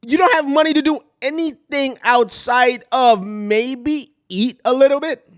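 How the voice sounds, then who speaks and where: A high-voiced woman from Kenya hosts a casual commentary show. She talks emphatically, repeating short phrases to make her point. The sound is dry and close. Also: unhurried, no echo. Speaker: show host.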